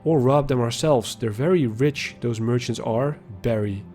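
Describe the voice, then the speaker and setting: A man's voice: mid-range voice, measured pace, dark tone, soft background music, natural voice. A man voicing a young male peasant boy in a storybook reading, raising his voice to sound eager and wheedling. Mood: scheming.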